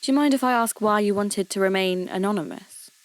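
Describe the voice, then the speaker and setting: A woman playing a polite, curious radio host who investigates mysteries for a podcast, speaking in a measured, questioning way. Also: medium pitch, natural voice. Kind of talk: scripted narration and phone interview.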